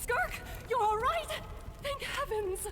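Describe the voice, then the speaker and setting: A woman's voice: very high-pitched, lively intonation, takes it slowly, background noise, heavily acted. A woman playing a caring but strict, worrying mother in a video game. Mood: relieved. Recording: long reverb over music.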